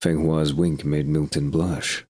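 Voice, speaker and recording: A man's voice: rumbling low, little pitch movement, slow pace, a little mellow. A man narrating a scripted audio drama in a descriptive storytelling way.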